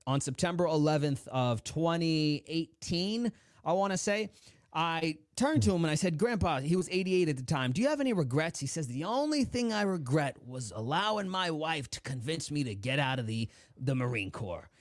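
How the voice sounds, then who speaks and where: A young adult man with a somewhat high-pitched voice tells his story as a podcast guest, slipping into his parents' and grandfather's voices as he quotes them. The recording is dry and close, with no room sound. He talks like a motivational speaker, driving each point home. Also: moderate pace, no echo. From United States. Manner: animated storyteller, acts out quoted dialogue with big pitch swings.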